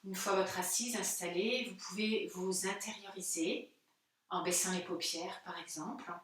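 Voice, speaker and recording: A woman, a yoga teacher giving calm, unhurried and soothing guided relaxation instructions. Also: mid-range voice. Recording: very echoey room, distant microphone.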